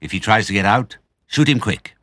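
A man's voice: on the low side, moderate pace, a bit bright, dry recording, clean studio sound, pitched above the performer's own voice, close to an everyday voice. A man voicing a lean, dirty, deaf villain farmer in a storybook, angry, curt and bossy. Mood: bossy, scheming. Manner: emphatic, menacing, curt.

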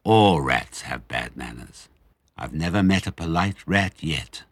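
A man's voice: extremely low pitch, animated, unhurried, slightly rough, no echo, voice pushed down, heavily acted. A man voicing a blunt, grumbling male badger in a children's storybook. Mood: disapproving. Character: blunt, low-voiced male badger, loyal companion.

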